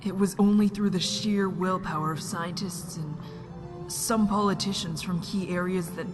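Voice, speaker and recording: A woman's voice: fairly low pitch, fairly even intonation, normal speed, music in the background, close to an everyday voice. A woman playing a reflective young survivor in an amateur sci-fi drama, speaking in a subdued, hesitant manner. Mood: somber. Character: reflective, somber young survivor confiding her past.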